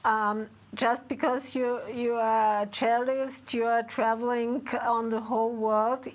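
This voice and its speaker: A woman with an Austrian accent speaks as an interviewer. Her voice sits a little higher than most women's. She phrases things hesitantly, yet states her points firmly. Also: a little hiss or hum. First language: German. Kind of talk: interview, switching between two languages.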